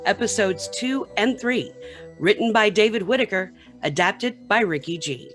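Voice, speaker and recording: A woman's voice: mid-range voice, lively intonation, moderate pace, fairly bright tone, soft background music. Woman narrating a radio play script.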